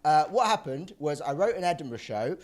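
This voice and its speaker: A man telling a self-deprecating comic anecdote as a panel show guest, in a halting conversational way. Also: on the high side, sing-song.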